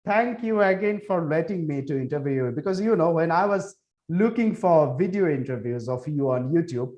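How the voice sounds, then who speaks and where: Higher-voiced man in an echoey room. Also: animated, moderate pace, a little mellow, lo-fi sound. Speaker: podcast host interviewer. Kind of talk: casual interview talk. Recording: roomy, echoing sound.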